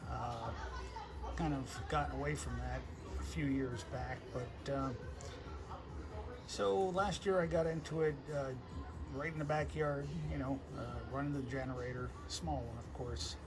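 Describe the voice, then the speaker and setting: A man chatting casually as an amateur radio operator, halting and easygoing, recorded outside on a porch. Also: mid-range voice, steady tone, over music. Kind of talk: casual ham radio conversation. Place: outdoors on a house porch. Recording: noisy outdoor recording with ambience.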